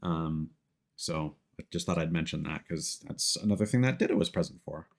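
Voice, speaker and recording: A man's voice: extremely low pitch, expressive, normal speed, creaky. A man livestreaming casual gameplay commentary, thinking aloud in a playful way. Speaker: live streamer.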